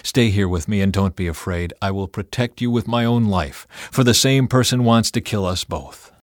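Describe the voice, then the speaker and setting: A man's voice: lowish voice, normal speed, no room sound, studio quality, lightly acted. A man playing a principled, protective warrior leader with a solemn, firm manner, in a dramatised Bible audiobook. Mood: regretful, protective.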